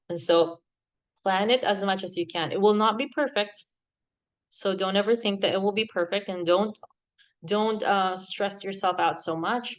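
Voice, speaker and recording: A woman in her thirties from the Philippines, with a Filipino accent that leans American, giving animated, chatty podcast advice and laughing often. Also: on the low side. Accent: Filipino, with an American lilt. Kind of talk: casual advice talk.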